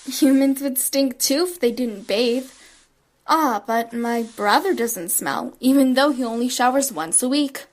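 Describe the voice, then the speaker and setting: Woman playing a high-voiced, guarded, gloomy schoolgirl. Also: unhurried. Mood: matter-of-fact. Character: gloomy, guarded schoolgirl narrating her misfortunes. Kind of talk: audio drama acting with inner narration.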